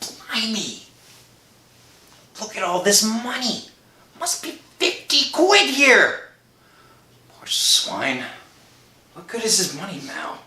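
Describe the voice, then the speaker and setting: An actor playing a desperate, haunted unemployed husband in an emotive 1960s radio horror drama style. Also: male voice, high-pitched, big pitch swings, takes it slowly, long pauses, sharp tone, heavily acted. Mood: agitated. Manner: melodramatic, emotive, swinging from murmur to outcry. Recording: roomy old-time radio recording with music.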